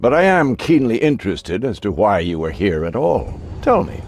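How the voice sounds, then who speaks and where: An actor playing an aristocratic elder vampire villain in a video game, theatrical and mock-courteous, with a gravelly, husky voice. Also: male voice, fairly low pitch, sing-song, normal speed, exaggerated voice. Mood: amused, probing. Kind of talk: video game cutscene acting.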